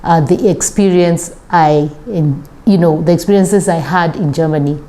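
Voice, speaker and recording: Warm-toned Kenyan woman, thoughtful. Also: fairly low pitch. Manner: thoughtful, with many pauses and fillers. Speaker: guest, travel coach. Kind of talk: casual interview chat.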